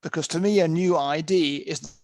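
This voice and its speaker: A man's voice: on the high side, fairly even intonation, normal speed, gravelly. A man teaching interpreters in an online master class, speaking conversationally and repeating phrases as he works through examples. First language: English. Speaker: interpreting lecturer. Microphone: video call.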